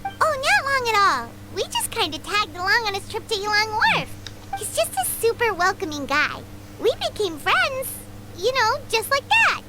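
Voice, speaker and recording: A woman voicing a small, childlike, nosy fairy companion with a squeaky, chirpy delivery in an anime-style game. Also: sing-song, normal speed, heavy background noise. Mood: chatty. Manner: chirpy, speaks of self by name. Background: game music.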